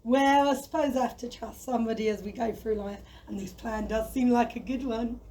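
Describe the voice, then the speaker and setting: A high-pitched, timid storybook dragon voiced by a woman in a community audio play. The creature speaks expressively and reasons earnestly, with a touch of indignation. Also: fairly even intonation, a little fast, warm tone, natural voice. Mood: giggly, wary. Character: gentle, peace-loving, timid dragon reluctant to fight.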